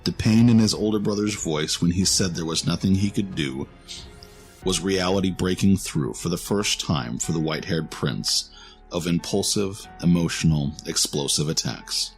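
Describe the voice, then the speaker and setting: A man with a very low voice narrates a fantasy story. The delivery is scripted and dramatic, with a music bed underneath. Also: normal speed.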